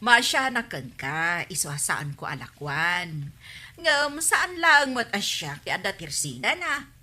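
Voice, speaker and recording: A woman's voice: lowish voice, big pitch swings, slow, bright, forceful tone, exaggerated voice. A woman playing a sympathetic, gossiping village friend in an Ilocano comedy radio drama.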